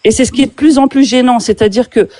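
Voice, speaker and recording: Woman interviewer, pointed but halting, warm low-key timbre. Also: dry recording. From France. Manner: hesitant, with many fillers and restarts. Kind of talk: pointed questioning in a street debate.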